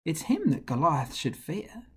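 Man voicing a high, indignant young shepherd boy. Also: wildly swooping pitch, a little slow, a bit bright, husky, full character voice. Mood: earnest, bold. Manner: earnest, declaiming in rhyme.

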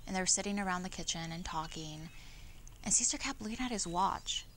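A young actress playing a wry farmworker's daughter in a conversational, matter-of-fact monologue. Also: female voice, lowish voice, fairly quick, fairly bright tone, a little hiss or hum, close to an everyday voice. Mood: wry recollection. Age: young adult. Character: farmworker's daughter recalling family home, wry. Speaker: actor performing a monologue. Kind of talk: dramatized oral history monologue.

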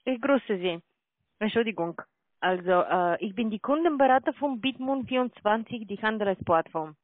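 A non-native German speaker with a foreign accent making a formal, halting sales call as a call centre agent. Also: mid-range voice, lively intonation, dry recording. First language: not German. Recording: tinny dry phone line.

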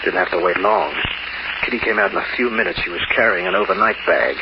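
An actor playing a wry, laconic, hard-boiled crook in an old radio noir drama. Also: male voice, deep voice, quick pace, close to an everyday voice. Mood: wry, tense narration. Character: hard-boiled male crook narrating his failed heist, wry, menacing. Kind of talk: 1940s-50s radio noir narration. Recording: noisy, dull old radio recording.

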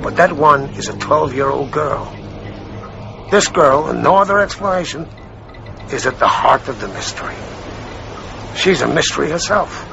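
An actor in a radio drama playing a courteous police lieutenant who probes in a slyly indirect way. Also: male voice, fairly high pitch, lively intonation, normal speed, gravelly, pauses often, highs cut off, noisy background. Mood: slyly probing.

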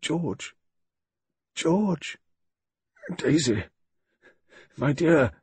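A man's voice: medium pitch, very slow, breathy edge, no room sound, pitched above the performer's own voice, full character voice. A man voicing a weak, loving old woman in an audiobook, in a small, breathless voice. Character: frail, injured old wife, weak and loving.

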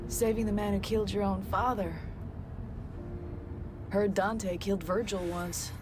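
A woman's voice: mid-range voice, steady tone, unhurried, background noise, lightly acted. Woman acting sassy young gunsmith. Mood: incredulous, curious. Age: young adult. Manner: sassy, teasing, drawling. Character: cocky, teasing young female gunsmith. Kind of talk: video game cutscene acting.

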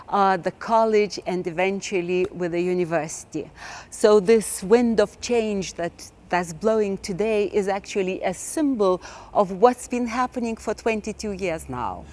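A woman's voice: mid-range voice, a little mellow. A woman in a documentary interview recalling long involvement with an institution, speaking reflectively with fillers. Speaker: longtime staff member.

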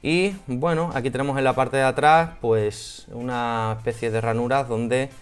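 A man's voice: medium pitch, fairly even intonation, normal speed, smooth, soft background music. A man in his thirties with an accent from Spain, talking casually and a bit hesitantly through a hands-on product review. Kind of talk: casual unboxing and installation walkthrough. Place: small home office room.

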